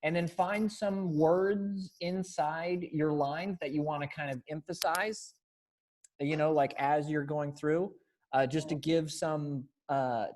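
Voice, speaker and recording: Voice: medium pitch, darkish tone. An American readers theater director coaching casually over a video call, encouraging and hesitant in phrasing. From Springfield, Missouri, United States.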